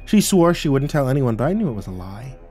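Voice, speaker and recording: A man's voice: medium pitch, big pitch swings, fast, mellow, soft background music, natural voice. A man voicing a murderous, monstrous woman who tells her grim childhood tale with theatrical relish in a horror story. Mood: cold satisfaction.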